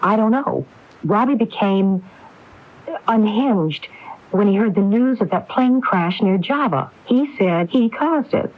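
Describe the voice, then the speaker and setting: A woman's voice: medium pitch, lively intonation, slow pace. Resolute woman, muffled old radio drama. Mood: intent. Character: resolute, determined woman investigating a murder. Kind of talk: 1980s radio drama acting. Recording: dull, muffled AM radio recording.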